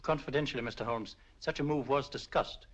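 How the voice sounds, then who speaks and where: An actor playing a formal, grave official in a 1940s film. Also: male voice, medium pitch, brisk, a bit bright, close to an everyday voice. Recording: dull lo-fi 1940s film soundtrack.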